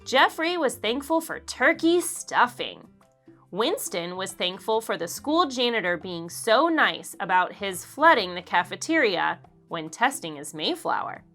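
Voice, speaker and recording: A woman reading a children's story aloud in an expressive, deliberate storytelling manner. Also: mid-range voice, faint music behind. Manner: expressive, deliberate, acts out dialogue. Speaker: children's book narrator. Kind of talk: read-aloud storytelling for kids.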